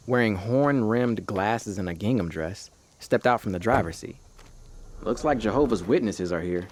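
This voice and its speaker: An actor playing a sardonic ghost who narrates a first-person audio drama in a wry, folksy storytelling manner. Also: male voice, on the low side, a little mellow, slight background noise, natural voice. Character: sardonic male ghost narrating his own haunting.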